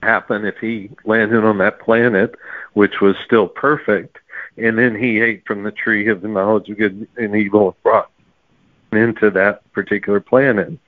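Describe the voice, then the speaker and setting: A middle-aged or older man, an interview guest, telling his story in an unhurried, explanatory way. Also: on the low side, fairly even intonation, dry recording. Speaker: author guest. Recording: narrow phone-like sound, dry.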